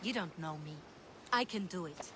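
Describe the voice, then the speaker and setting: A woman's voice: lowish voice, animated, normal speed, noisy background, close to an everyday voice. A woman playing an earnest, troubled townswoman in a video game, with an emotional delivery. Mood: earnest, defiant. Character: earnest, troubled Greek townswoman pleading for help. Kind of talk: video game cutscene acting.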